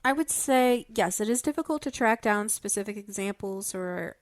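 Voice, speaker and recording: A woman's voice: medium pitch, animated, moderate pace, no room sound. A woman podcast co-host with a mid-range voice, recorded very dry. She explains things in casual discussion. Wry little asides color her delivery.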